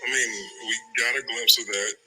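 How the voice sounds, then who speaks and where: A man's voice: mid-range voice, fairly even intonation, normal speed, bright, forceful tone, low-quality recording. A middle-aged man on a reality show, speaking candidly and reflectively in conversation. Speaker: reality show cast member, husband. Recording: music under TV show clip. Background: background music.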